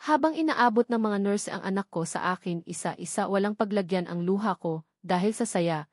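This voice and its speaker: Woman doing audiobook style story narration, medium pitch, super dry close recording no room. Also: moderate pace, no echo. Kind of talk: scripted first-person story narration with voiced dialogue.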